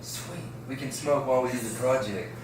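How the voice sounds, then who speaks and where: A man's voice: mid-range voice, moderate pace, natural voice. A man playing a friendly, laid-back teenage stoner boy in an amateur script read. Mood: friendly, casual. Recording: echoey room, distant mic, faint noise.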